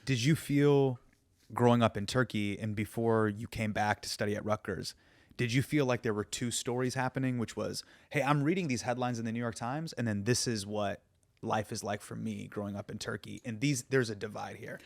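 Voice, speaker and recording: A man from the United States hosting a casual conversation, chatty and animated, with slang. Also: lowish voice, moderate pace, gravelly, no room sound, clean studio sound. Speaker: host.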